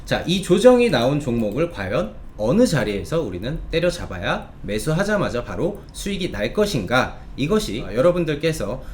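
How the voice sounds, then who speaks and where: A man hosting a stock review show, addressing online viewers in polite, formal speech with drawn-out hesitations between phrases. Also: medium pitch, big pitch swings, slight background noise.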